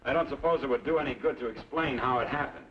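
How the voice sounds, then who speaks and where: An actor playing a laconic, wry drifter in an old-time radio western. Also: male voice, mid-range voice, fast talker, slight background noise, close to an everyday voice. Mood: dry. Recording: muffled old radio recording with music.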